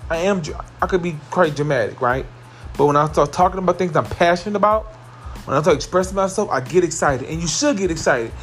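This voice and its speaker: A man hosting his own motivational podcast, his fairly high voice carrying well as he repeats his points with rising excitement. Faint music plays underneath him. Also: fast. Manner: earnest, repetitive, building to excited emphasis. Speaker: podcast host. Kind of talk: motivational solo podcast monologue.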